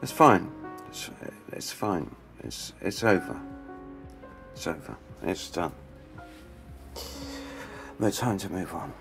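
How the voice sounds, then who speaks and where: A man playing a grieving man who lost his partner, hesitant and stammering, trailing off quietly. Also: deep voice, sing-song, takes it slowly, creaky, pauses often, faint music behind, full character voice. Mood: resigned. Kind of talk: radio play acting.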